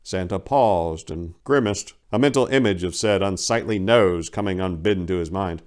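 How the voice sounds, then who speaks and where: A man in his forties hosting a theatrical dramatic reading in a mock-serious, expressive way, on a lapel mic indoors. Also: low voice, moderate pace, clean tone. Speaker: storyteller and host. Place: small indoor room lined with bookshelves.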